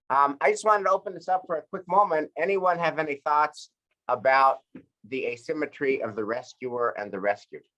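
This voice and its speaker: A man's voice: medium pitch, bright, forceful tone, low-quality recording. An American man leading a group book discussion in an earnest, reflective way, often repeating and rephrasing his points.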